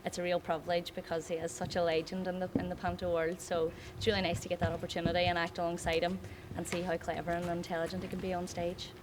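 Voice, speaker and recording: A woman's voice: lowish voice, little pitch movement, quick pace. A young woman, an actress chatting casually in a promotional interview. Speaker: pantomime actress. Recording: clear background noise.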